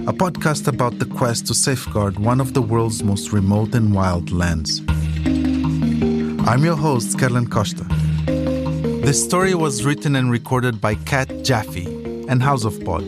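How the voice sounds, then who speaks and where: A man's voice: mid-range voice, animated, measured pace, over loud music. A man hosting a podcast, narrating a scripted documentary.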